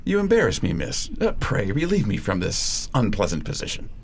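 A man's voice: fairly low pitch, wildly swooping pitch, normal speed, heavily acted. A man playing a formal, kindly, slightly hesitant country doctor in a period audio drama. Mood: reserved, awkward.

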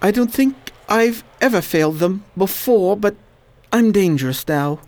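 A woman's voice: mid-range voice, animated, unhurried, a little mellow. A woman playing a weary, dutiful educated man in a radio play, terse and resigned.

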